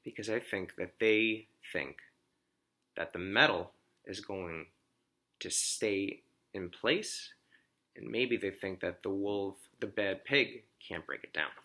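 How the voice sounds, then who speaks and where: A man reading a children's storybook aloud like a teacher, expressively, pausing to explain and ask questions. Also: sits low, bright, forceful tone.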